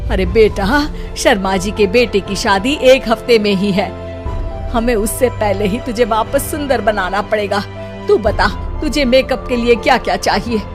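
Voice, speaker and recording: A woman's voice: medium pitch, over music, close to an everyday voice. A woman playing a boastful middle-aged mother-in-law. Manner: slow, scolding and preachy.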